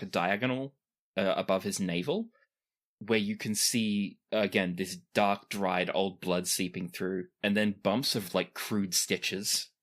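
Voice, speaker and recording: A game master improvising casual, descriptive narration for a tabletop game, pausing for effect. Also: mid-range voice. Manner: animated, descriptive, pauses for effect.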